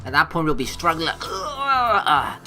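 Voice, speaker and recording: Female let's player, slightly low and very animated, playful and teasing, reads story text and comments over soft game music. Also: measured pace. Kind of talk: gaming commentary mixed with reading visual novel text aloud.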